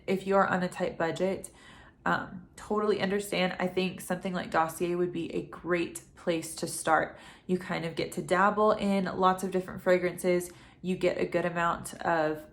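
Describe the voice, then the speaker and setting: A woman in her thirties, getting over a head cold with a dry throat, talking quietly and chattily at home at night as a fragrance reviewer. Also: lowish voice. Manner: chatty, rambling, with frequent ums. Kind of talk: casual vlog talk to camera.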